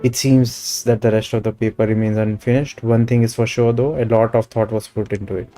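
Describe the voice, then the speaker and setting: Quick Indian man streaming a game. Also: mid-range voice, little pitch movement, warm tone. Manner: switches casually between commentary and read-out text. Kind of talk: live game commentary, reading dialogue aloud. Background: game music.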